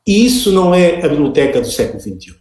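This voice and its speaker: A man whose first language is Portuguese, speaking slowly and hesitantly in Spanish and then Portuguese with a Portuguese accent, as a guest speaker on a panel. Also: on the high side, very animated, a little mellow, reverberant. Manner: slow, deliberate, hesitant. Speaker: invited speaker, school librarian expert. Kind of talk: reading a text aloud in halting Spanish, then talking in Portuguese.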